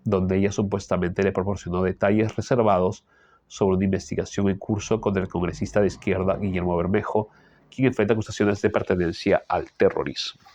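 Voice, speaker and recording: A man with a Peruvian Spanish accent reads a political column aloud and adds his own asides, often clearing his throat because of an allergy. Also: deep voice, steady tone, a little fast, a little mellow, no echo. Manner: frequent throat clearing and tag questions. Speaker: political commentator reading the news. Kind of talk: reading a news column aloud with personal asides.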